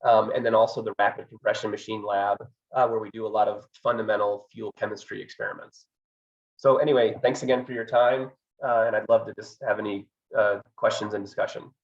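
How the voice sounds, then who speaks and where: A man giving a research talk as an engineering professor, hoarse from a cold, speaking hesitantly with many fillers. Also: lowish voice, fairly even intonation, brisk, dull audio. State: hoarse, getting over a cold.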